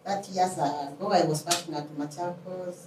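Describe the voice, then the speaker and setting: Female Kenyan nurse as interview guest, slightly low pitch, roomy recording with clear background noise. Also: steady tone, normal speed, distant mic. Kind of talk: self-introduction in an interview.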